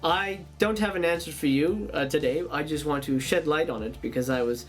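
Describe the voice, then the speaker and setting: A man's voice: a little high, a little fast, soft background music. A man hosting an online show in a casual monologue, with theatrical, rambling showman patter. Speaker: YouTube show host.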